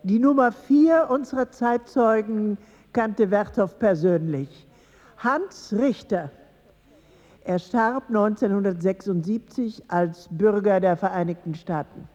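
An actress playing a solemn woman who presides over the dead in a German radio drama, speaking in a measured, ceremonial way. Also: medium pitch, warm tone. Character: solemn female guide presiding over dead witnesses in underworld. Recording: muffled, long reverb.

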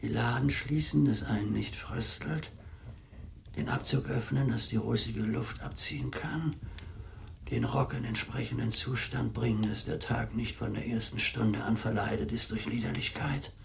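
Fussy old householder for radio drama: creaky voice, clipped and pedantic, faint background noise. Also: male voice, mid-range voice, moderate pace, far from the mic. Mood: muttering, orderly. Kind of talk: theatrical German radio play.

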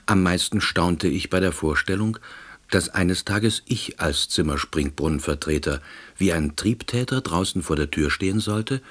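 A deep, husky, wistful man. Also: normal speed, fairly bright tone. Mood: wry, astonished. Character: timid, wistful East Berlin man turned novice salesman. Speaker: narrator and lead character. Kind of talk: first-person radio play narration.

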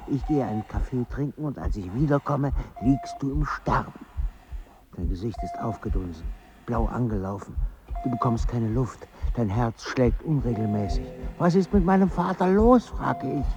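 A man's voice: mid-range voice, expressive, normal speed, over music, lightly acted. An actor playing a foreign traveller, a son who grieves for his father, intense and reflective, in a radio drama. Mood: agitated, bitter. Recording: slightly dull sound.

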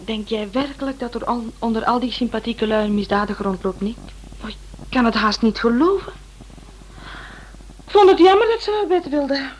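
A woman's voice: a little high, expressive, brisk. A woman playing a playful, self-assured detective's partner in a radio play, with a teasing manner. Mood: dreamy, chatty. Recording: noisy old radio play recording.

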